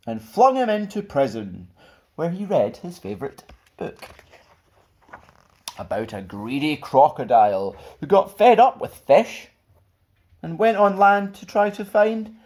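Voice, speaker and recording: A man reading a children's picture book aloud as a storyteller, in an expressive, theatrical way. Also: on the high side, a little slow. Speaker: storyteller and channel host.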